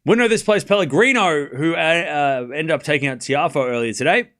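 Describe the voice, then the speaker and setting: A man hosting a livestream, giving excitable live tennis commentary in short clipped phrases, mixed with casual chat. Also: fairly high pitch, a little fast.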